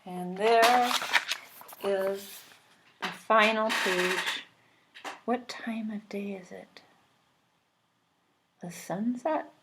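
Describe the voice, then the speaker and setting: A woman's voice: mid-range voice, very slow, pauses often, background noise. A woman reading a children's book aloud, adding simple asides and questions to her listeners. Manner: explains pictures simply, prompting with questions.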